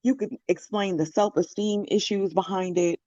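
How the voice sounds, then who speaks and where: A woman's voice: mid-range voice, steady tone, normal speed, mellow, no echo. Muffled woman guest on a call. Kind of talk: casual conversation.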